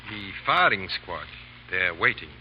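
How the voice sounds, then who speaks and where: A man's voice: deep voice, big pitch swings, unhurried. An actor playing a cold, gloating villainous male official in a 1930s radio drama, speaking with sneering formality. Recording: muffled, noisy 1930s radio recording.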